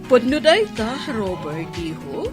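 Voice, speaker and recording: A woman's voice: a little high, huge pitch swings, slow pace, over music, full character voice. A woman acting in a village family melodrama for radio, with an Ilocano Filipino accent. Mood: chatty.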